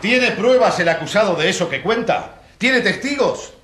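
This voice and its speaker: An actor playing a theatrical, sneering prosecutor, pompous and needling, in a radio courtroom drama. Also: male voice, high voice, expressive, moderate pace, heavy background noise. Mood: challenging.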